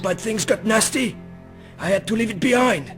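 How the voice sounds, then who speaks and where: A man's voice: sits high, animated, normal speed, fairly bright tone, faint music behind. A man playing a surly, suspicious old man in a video game, irritable, dismissive and grudging. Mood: conspiratorial. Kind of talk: video game cutscene voice acting.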